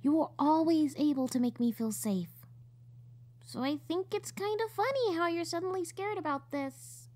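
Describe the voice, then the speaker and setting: A woman acting an earnest, playful teenage little sister in an audio drama, with halting, heartfelt delivery. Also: extremely high pitch, a little mellow. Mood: warm, reassuring.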